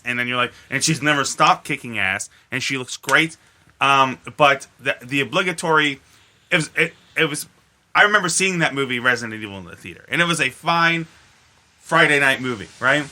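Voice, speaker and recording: Bright, forceful man rambling and swearing. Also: medium pitch, moderate pace. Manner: animated, profane, rambling storyteller. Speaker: podcast co-host. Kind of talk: casual chat.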